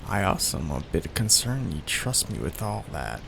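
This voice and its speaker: A man voicing a soft-hearted young male mafia heir in an ASMR roleplay, talking in a hesitant, sheepish way with many fillers. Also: deep voice, moderate pace, vocal fry, noisy background, full character voice. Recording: steady rain sounds behind the voice.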